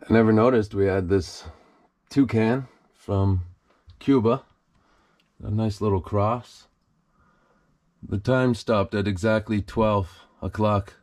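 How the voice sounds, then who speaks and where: Slow, low-voiced man, casual exploring vlog. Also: darkish tone. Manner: casual, talking to viewers while walking around. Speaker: host of an abandoned-places exploration channel. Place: on the move through a derelict property, RV, overgrown yard and house.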